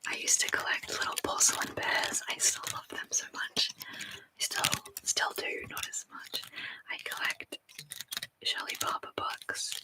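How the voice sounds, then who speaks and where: A woman whispering into a close mic at her home desk, rambling chattily to a live stream audience. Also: rough recording. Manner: soft rambling whisper, chatty and self-deprecating. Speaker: ASMR streamer. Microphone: sensitive close mic.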